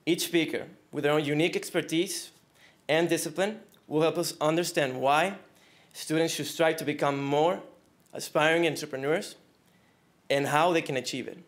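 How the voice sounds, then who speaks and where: A man's voice: on the high side, moderate pace. A young man introducing the event and its first speaker, reading a formal script. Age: young adult. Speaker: event host.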